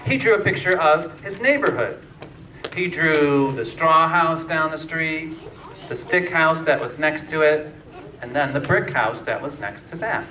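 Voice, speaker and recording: Guy reading a fairytale out loud real slow, bright voice, far from the mic in an echoey room. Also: on the high side. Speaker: storyteller. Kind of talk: scripted fairytale narration. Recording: echoey room, distant mic, faint noise.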